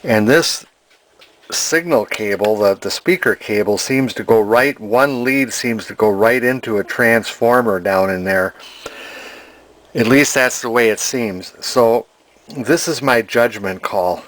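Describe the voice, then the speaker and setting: A man's voice: fairly low pitch, a little slow, fairly bright tone, rough recording. A man casually talking through an antique radio repair in his workshop, plain and hesitant with frequent fillers. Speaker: radio restorer. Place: home workshop.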